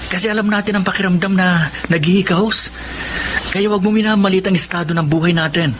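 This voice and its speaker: An actor playing a cheeky, eager young student from the provinces who dreams big, in Filipino radio drama style. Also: male voice, high-pitched, steady tone, a little fast, lightly acted. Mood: earnest, hopeful. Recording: noisy radio drama with ambient effects.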